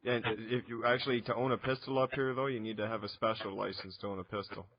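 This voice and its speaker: A Canadian man with a gravelly, creaking, lowish voice hosts a casual interview. He chats loosely and informally, and the recording is dry and somewhat dull. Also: little pitch movement, fast talker, dry recording. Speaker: radio interviewer. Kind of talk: casual, profane interview chat.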